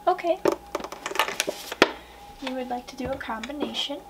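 A young woman doing a soft-spoken ASMR roleplay, hushed and gentle, often slipping into whispers, close to the mic in a small room. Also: high-pitched, unhurried, very noisy. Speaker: ASMR roleplayer playing a portrait painter. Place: small wood-panelled room indoors.

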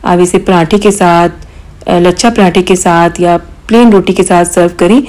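Calm woman narrating a home recipe. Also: lowish voice, normal speed. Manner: calm step-by-step instruction with mild hesitations. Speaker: cooking channel host.

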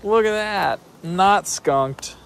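A man casually vlogging while fishing outdoors on a rocky riverbank, upbeat and excitable, recorded on a body-worn camera. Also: high voice, very slow, gravelly, clear, resonant tone. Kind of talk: casual outdoor vlog narration. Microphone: body-worn action camera. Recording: noisy outdoor camera audio. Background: passing train, wind and outdoor noise.

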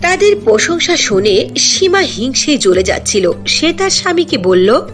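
Female narrator for cartoon fairy tales, high-pitched and very expressive. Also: measured pace. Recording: music behind the voice.

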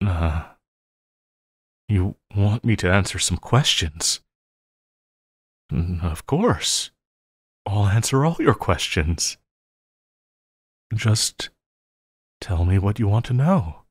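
Casting: male actor for an obsessive villain in love, audio roleplay, lowish voice, slow stammering delivery, close dry sound. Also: sing-song, husky, no room sound, full character voice. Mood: grateful, eager. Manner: halting, stammering, melodramatic. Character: obsessive lovesick male supervillain, pleading and needy. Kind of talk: intimate audio roleplay acting.

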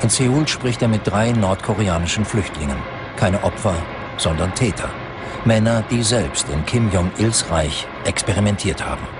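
A man reading scripted documentary narration in a measured, grave manner. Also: on the low side, animated, background noise.

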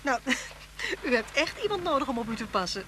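An actress playing a warm-hearted, headstrong woman schoolteacher in a Dutch radio play, with an insistent, teasing, expressive delivery. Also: high-pitched, brisk. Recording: noisy old radio drama recording.

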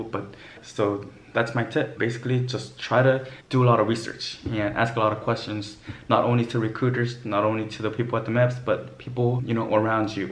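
A man in his thirties with a fairly low voice gives a casual explainer to camera. He is recorded in a small bare room, with clear echo around his words. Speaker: vlogger, former Navy aviation mechanic.

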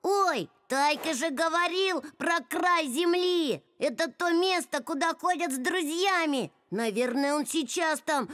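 A woman's voice: extremely high pitch, measured pace, few pauses, a bit bright, no echo. A woman voicing a kind, earnest little polar bear cub boy in a cartoon, sincere and childlike. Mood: hopeful, thoughtful. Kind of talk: animated series voice acting. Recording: music under the voice.

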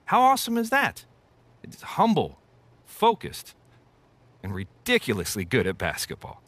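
An effusive American sportscaster, delivers emphatic video game commentary with dramatic pauses. The pitch swings widely and the sound is dry and close. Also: male voice, huge pitch swings, a bit bright, no room sound, exaggerated voice. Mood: admiring.

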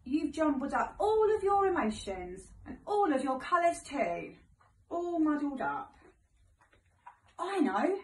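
A British woman in her thirties, a teacher reading a picture book aloud to young children in an expressive, gentle way. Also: sits high, slow. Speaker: primary school teacher. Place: living room, indoors. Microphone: phone camera a few metres away. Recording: echoey room, far from mic.

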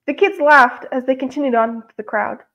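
A woman's voice: high voice, fairly even intonation, normal speed, a bit bright. A young woman guest reading her own short story aloud over a dull-sounding video call. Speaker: guest art instructor and author.

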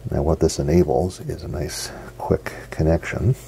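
A middle-aged man, giving a casual hands-on demonstration in a halting, unscripted way. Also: a bass voice, steady tone, creaky, dark tone. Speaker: radio hobbyist presenter. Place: basement radio workshop, indoors. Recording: noisy home basement recording.

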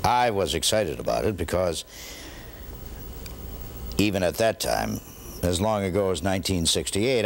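A middle-aged American man recalling the past in an interview, wordy and halting. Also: deep voice, creaky, slight background noise. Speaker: interview guest. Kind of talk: interview recollection.

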